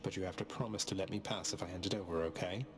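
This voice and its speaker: A wry, low-voiced young god. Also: male voice, fairly even intonation, fast, very noisy. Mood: breezy. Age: young adult. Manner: wry, sardonic, casually polite. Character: cocky, wry young god, rebellious son of underworld lord. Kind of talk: video game voice acting.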